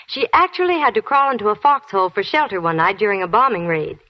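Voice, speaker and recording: A woman's voice: mid-range voice, lively intonation, fast. An American woman doing a scripted, chatty advertising spot on 1940s radio. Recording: dull 1940s radio recording.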